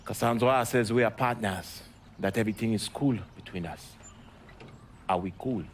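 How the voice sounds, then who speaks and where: Low male voice, Haitian accent, defiant smuggler played by a man, mocking, guarded, video game dialogue, noisy background. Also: normal speed, close to an everyday voice. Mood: cool, pointed. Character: bitter, defiant Haitian smuggler and former priest.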